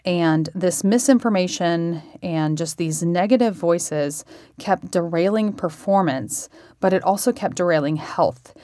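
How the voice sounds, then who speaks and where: A woman's voice: on the low side, measured pace, mellow, dry recording, studio quality. Earnest woman author introducing her book. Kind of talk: scripted introduction.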